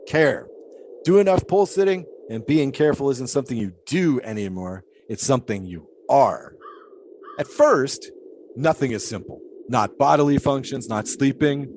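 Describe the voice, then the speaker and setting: An actor playing a pious, philosophical 1920s flagpole sitter who talks in a folksy, preachy way. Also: male voice, medium pitch, darkish tone, a little hiss or hum, lightly acted. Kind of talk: audio drama acting.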